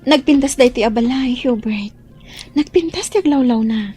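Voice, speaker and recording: A humble young maid in love played by a woman in an Ilocano radio drama. Her voice is fairly high and shy, with an Ilocano accent, over soft music. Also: moderate pace, natural voice. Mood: tender, overcome. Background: background music.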